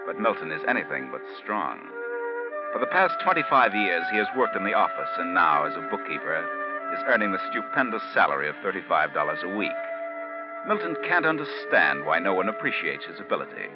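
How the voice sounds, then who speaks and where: A man's voice: low-pitched, sing-song, fairly quick. An actor playing the Whistler, a sinister, theatrical and sardonic male narrator of a 1940s radio mystery. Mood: ominous, sardonic. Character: the Whistler, sinister all-knowing night-walking male storyteller. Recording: muffled 1940s radio, loud music behind.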